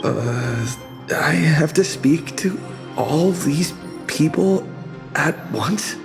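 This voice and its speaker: An earnest young hero talks slowly and a little hesitantly in a fairly high male voice. It is video game acting, with music behind him. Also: lively intonation, creaky, full character voice.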